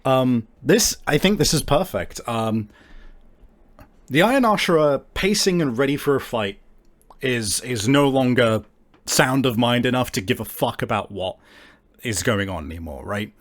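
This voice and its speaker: A man narrating a tabletop game as game master, improvising haltingly and searching for his words. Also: medium pitch, animated.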